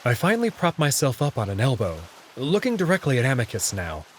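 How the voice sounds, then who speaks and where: A man's voice: medium pitch, huge pitch swings, a little fast, a little hiss or hum. A man giving a dramatic first-person narration of a visual novel story. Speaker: narrator and reader.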